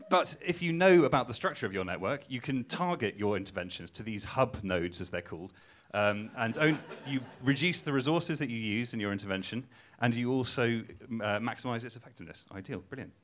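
A man's voice: on the low side, a bit bright. A young man from Newcastle with a Geordie accent, performing a stand-up comedy set with a casual, self-mocking, hesitant delivery full of ums. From England. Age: young adult. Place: live stage before laughing audience.

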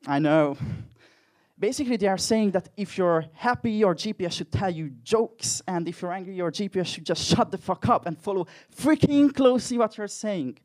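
A man's voice: high-pitched, expressive, normal speed. A young man with a Hungarian accent doing a dry, self-mocking stand-up comedy set with crude jokes. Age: young adult.

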